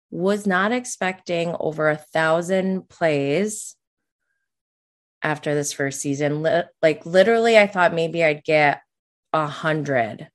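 A woman in her late thirties hosting a podcast, chatting casually and candidly with an informal, self-deprecating way of talking. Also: lowish voice. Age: late 30s. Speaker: podcast host.

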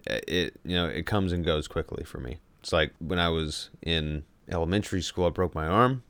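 A man with a deep, smooth voice talks casually as a podcast co-host. He tells things like personal stories, rephrasing as he goes, in a dry, close recording. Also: normal speed, fairly bright tone, dry recording. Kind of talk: casual chat, personal anecdotes.

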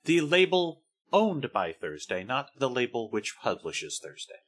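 Brief: male podcast co-host, bright, animated delivery, sarcastic and wry. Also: medium pitch, measured pace. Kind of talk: casual podcast chat about music history.

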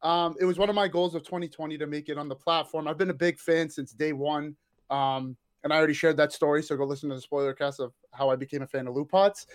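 A man appearing as a podcast guest, eager and excitable, rambling happily in casual chat. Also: fairly high pitch, quick pace.

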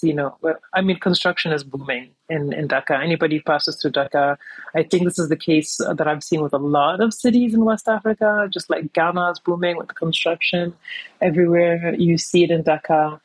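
A woman's voice: low voice, fairly even intonation, a little fast, slightly rough, dry recording, poor audio. A woman from New York City, a podcast guest chatting casually and rambling easily with lots of fillers. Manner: easygoing, rambling, many "you know" fillers. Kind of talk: casual interview chat.

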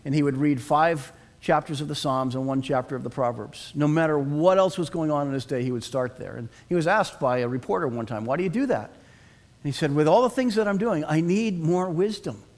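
A man's voice: fairly high pitch, fairly quick, darkish tone. Earnest man preaching a sermon. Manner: earnest, asks the congregation to respond. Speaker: pastor.

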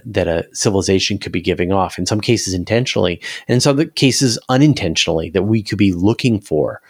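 A man's voice: low-pitched, dry recording, clean studio sound. A man talking enthusiastically and emphatically in a conversational science discussion, as an interview guest.

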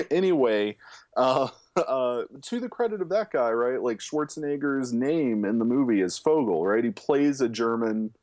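A man's voice: mid-range voice, expressive, a little slow, darkish tone. A man co-hosting a film podcast, chatting loosely with lots of fillers and swearing. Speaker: podcast co-host, film reviewer. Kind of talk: casual chat.

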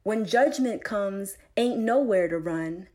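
A woman recites poetry at a very unhurried pace, in a mid-pitched voice. She sets out each phrase deliberately, with pauses between them, as a poet reading her own work. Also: darkish tone.

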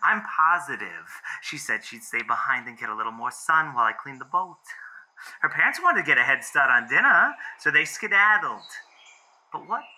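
An actor playing a foul-mouthed young American boyfriend in a found-footage audio drama, rambling and questioning himself. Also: male voice, on the high side, big pitch swings, normal speed, pushed and bright, slight background noise, exaggerated voice, rough recording. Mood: panicked, agitated. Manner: rambling, profane, self-questioning. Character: frantic, foul-mouthed young boyfriend searching for his girlfriend.

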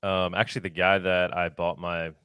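A man with a low-pitched voice hosts a podcast in a casual, conversational way. The sound is dry and close, with no room echo. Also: level tone, moderate pace, pushed and bright, smooth. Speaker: podcast host. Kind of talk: casual interview chat.

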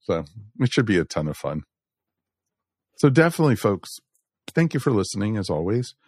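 A man's voice: on the low side, very animated, normal speed, darkish tone, no echo, studio quality. A man hosting a podcast, chatting casually and addressing listeners in a folksy way. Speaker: podcast host.

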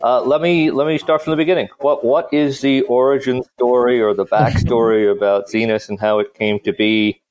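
A man's voice: medium pitch, fairly even intonation, moderate pace, hardly any pauses, darkish tone, low-quality recording. A man from the Caribbean co-hosting a podcast, friendly and stumbling over restarts as he talks. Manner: friendly, rambling with repeated restarts. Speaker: podcast co-host. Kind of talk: casual podcast chat.

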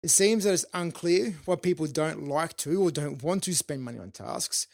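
A man with a fairly high voice talks through his podcast episode on his own, upbeat and informal. He sounds slightly hoarse, as if losing his voice. The sound is dry and close to the mic. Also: moderate pace, no echo. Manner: upbeat, informal, with fillers and restarts. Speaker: podcast host. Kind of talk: solo podcast monologue.

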